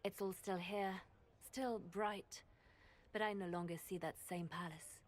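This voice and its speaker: A woman playing a wistful, shrewd court diplomat in a video game, solemn, poetic and measured. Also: mid-range voice, fairly even intonation, lightly acted.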